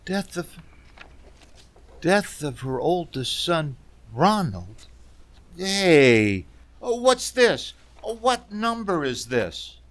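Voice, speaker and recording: Man acting haunted, halting traveler with animated pitch. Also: dry recording, full character voice. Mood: shocked disbelief. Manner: halting, stammering. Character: distraught, haunted male traveler on a lonely road. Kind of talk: radio theater acting.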